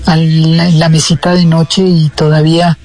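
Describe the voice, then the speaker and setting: A woman's voice: sits low, flat delivery, measured pace, darkish tone. A woman from Argentina with a slight Buenos Aires accent, a radio interview guest telling her story with many hesitant restarts. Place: radio studio.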